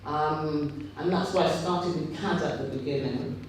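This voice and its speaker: A man's voice: on the high side, a little slow, far from the mic, a little hiss or hum. Big-voiced man rallying in echoey hall. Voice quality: big, loud voice. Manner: impassioned, repetitive rhetorical buildup. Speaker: trade union speaker. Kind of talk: rally speech at a union fringe meeting. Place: meeting hall. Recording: very echoey hall, picked up from afar.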